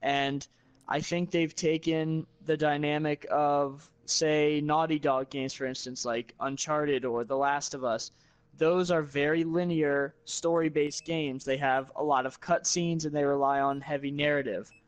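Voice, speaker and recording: A man's voice: a little high, steady tone, measured pace, clear, resonant tone, no room sound. A man giving blunt, opinionated takes on video games as a guest in casual talk.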